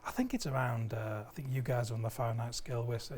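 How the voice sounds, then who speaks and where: A man's voice: mid-range voice, fairly even intonation, quick pace, a little mellow. A British man in his fifties explaining a product as a sales representative, enthusiastic, often repeating words as he goes. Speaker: company sales representative, guest. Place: indoor audio showroom. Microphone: lapel mic.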